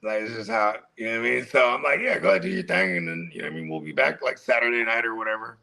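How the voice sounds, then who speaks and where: Gravelly, bright-voiced man about forty. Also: fairly low pitch, expressive, racing. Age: 40s. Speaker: podcast co-host. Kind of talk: casual chat.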